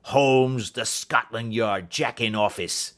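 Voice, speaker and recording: A man's voice: medium pitch, slow pace, close to an everyday voice. A man voicing a huge, fierce old doctor in an audiobook, a bullying villain who snarls and blusters. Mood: furious, menacing. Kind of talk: audiobook character acting.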